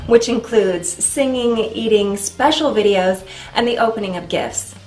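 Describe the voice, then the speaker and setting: A woman's voice: medium pitch, unhurried, darkish tone. A woman reading scripted promotional narration as the voice of an advert. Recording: roomy echo, music underneath. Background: background music.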